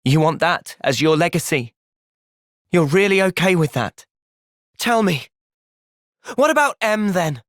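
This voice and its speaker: A man with an English accent voicing an earnest, thoughtful young soldier hero in a fantasy video game, speaking in a measured, reflective way. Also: high voice, big pitch swings, a bit bright, dry recording, studio quality, full character voice. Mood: firm, resolute. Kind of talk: video game cutscene acting.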